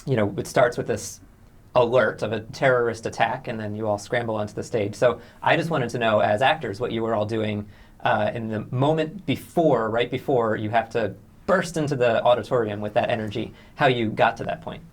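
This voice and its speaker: A man's voice: mid-range voice, brisk. A man hosting a studio interview, asking questions in a hesitant, roundabout way. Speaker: co-host interviewer.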